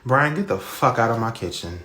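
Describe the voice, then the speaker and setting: A man's voice: mid-range voice, expressive, a little fast, pushed and bright, slightly rough. A man playing a stern, foul-mouthed mother and grandmother in a comedy skit, scolding bluntly. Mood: outraged. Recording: roomy echo.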